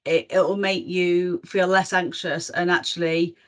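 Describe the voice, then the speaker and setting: A woman's voice: fairly low pitch, little pitch movement, unhurried. A woman leading a roundtable talk in a reflective way, often ending her points with tag questions. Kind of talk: casual panel discussion.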